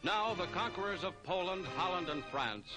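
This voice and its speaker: An American man narrates a 1940s newsreel with a formal, declamatory delivery. His voice sits fairly high and moves in very animated sweeps. Loud music plays behind him on the vintage soundtrack. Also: moderate pace, rough recording.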